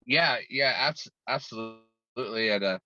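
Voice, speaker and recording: A talkative man chats as an interview guest, rambling and restarting his sentences as he goes. His voice is bright and forceful. The sound is dry and dull, with a lo-fi edge. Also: medium pitch, unhurried, no room sound. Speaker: guest musician. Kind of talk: casual interview chat.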